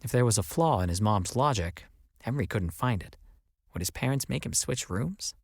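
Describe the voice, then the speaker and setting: A man reading as an audiobook narrator, in an expressive scripted storytelling style. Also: medium pitch, moderate pace, no echo, studio quality.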